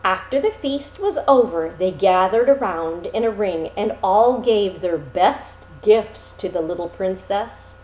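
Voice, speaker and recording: A woman narrating a fairy tale expressively, with dramatic, deliberate phrasing and pauses. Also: mid-range voice, expressive, a little mellow, slight background noise. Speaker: storyteller. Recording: roomy, echoing sound.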